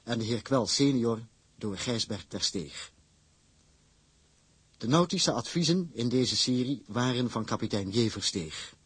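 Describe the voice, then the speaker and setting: A man announces radio drama credits formally from a script. His voice is very husky and his pace slow. The sound is close and dry, with each phrase set out deliberately. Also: medium pitch, expressive, no room sound.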